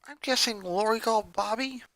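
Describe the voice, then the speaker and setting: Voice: mid-range voice, brisk, fairly bright tone, no echo, pitched above the performer's own voice, natural voice, poor audio. A story reader voicing a hurt preteen boy who confides his grievances in a sullen way. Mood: guarded, sullen.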